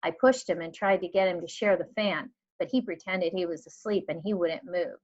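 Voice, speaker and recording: A woman reading a children's novel aloud in an expressive, measured way, acting out the dialogue. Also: lowish voice. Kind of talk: expressive storybook read-aloud. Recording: dull lo-fi recording.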